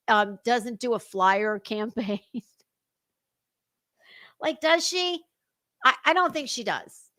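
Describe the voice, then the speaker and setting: A woman's voice: on the high side, a bit bright, no echo, clean studio sound. A woman giving casual reaction commentary as a livestream host, sarcastic, mocking and foul-mouthed. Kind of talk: casual reaction commentary over a played clip.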